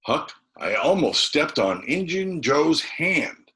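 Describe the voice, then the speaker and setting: Guy reading as a scared excited country boy from a storybook, voice jumping up high, breathless folksy talk. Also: takes it slowly, exaggerated voice. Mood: frightened, excited.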